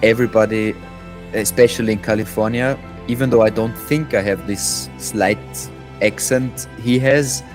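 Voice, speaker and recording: A man's voice: lowish voice, fairly even intonation, normal speed, music in the background. A young adult man from Austria with an Austrian accent, chatting casually as a podcast guest and often repeating words as he thinks.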